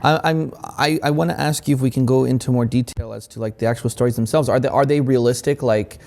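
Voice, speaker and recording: A man's voice: mid-range voice, steady tone, fast talker, gravelly, a little mellow. A man co-hosting a casual opinion chat, sarcastic, mocking the other side by quoting it in a put-on voice. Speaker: co-host.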